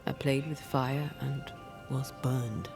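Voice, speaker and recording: Slow, hushed, creaky-voiced grieving woman ghost hunter. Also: rumbling low, fairly even intonation, full character voice. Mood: wistful, sorrowful. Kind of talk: dramatic video game cutscene acting. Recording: music under the voice.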